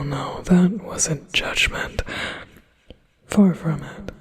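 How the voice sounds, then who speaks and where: A man's voice: on the high side, husky, full character voice. Man whispering as a silky possessive demon. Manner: soft intimate whisper, silky and persuasive. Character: flattering possessive male demon, calm and coaxing. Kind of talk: whispered ASMR roleplay.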